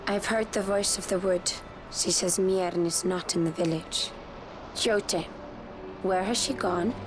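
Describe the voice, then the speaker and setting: A woman's voice: fairly low pitch, steady tone, measured pace, background noise, natural voice. Solemn, proud exiled forest woman, played by an actress. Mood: insistent. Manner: solemn, formal, archaic phrasing. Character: proud, grave exiled female Viera wanderer. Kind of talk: video game voice acting.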